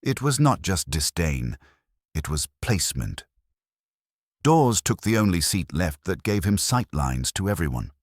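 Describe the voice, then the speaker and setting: A man narrating a first-person detective story in a wry, measured storytelling manner. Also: sits low, very animated, no echo, clean studio sound. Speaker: audiobook narrator.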